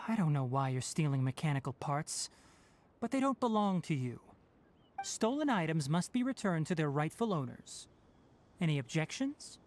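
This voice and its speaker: Scholarly young male forest ranger, measured, analytical, expressive intonation, game cutscene. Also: a little high, a little hiss or hum. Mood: reasoned. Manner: measured, analytical, matter-of-fact. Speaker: forest watcher.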